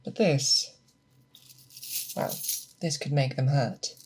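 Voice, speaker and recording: A woman playing a seasoned, grave and protective female monster hunter in a slow, hushed, breathy ASMR roleplay, close to the microphone. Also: very deep voice, faint music behind, exaggerated voice. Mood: confiding. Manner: slow, deliberate, hushed, with pauses. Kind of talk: ASMR roleplay, close soft speech and whispers. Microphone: close binaural microphone.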